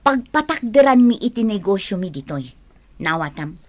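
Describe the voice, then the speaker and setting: An actress playing a nagging, well-off wife, anxious and bossy, in an Ilocano radio drama. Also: female voice, medium pitch, very animated, moderate pace. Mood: stern, demanding.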